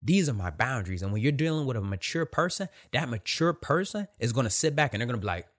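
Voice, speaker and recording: Soft-voiced man, lively emphatic delivery. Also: mid-range voice, quick pace. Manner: emphatic, repetitive, direct with profanity. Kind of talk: casual motivational talk.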